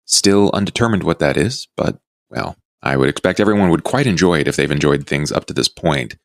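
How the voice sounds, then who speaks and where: A man speaking as a player on a tabletop role-playing podcast, with measured, rather formal wording. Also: extremely low pitch, animated, creaky, no room sound, clean studio sound. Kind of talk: podcast chat and announcements.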